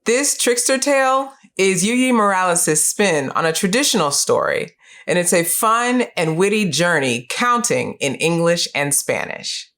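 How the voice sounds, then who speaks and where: A middle-aged woman hosting a book series, giving scripted educational narration in a clear, measured, explanatory way. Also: on the low side, animated, a bit bright. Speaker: host of a children's book review series.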